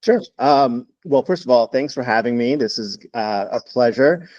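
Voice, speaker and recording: A man in his fifties from Texas, a podcast guest telling his story in a relaxed, reflective way with many fillers. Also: mid-range voice, normal speed, no echo, highs cut off. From Texas, United States. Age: 50s. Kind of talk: interview storytelling.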